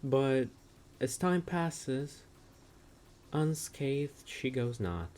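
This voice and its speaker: A woman giving a dramatic scripted narration of a comic, with literary, deliberate delivery. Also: extremely low pitch, animated, darkish tone.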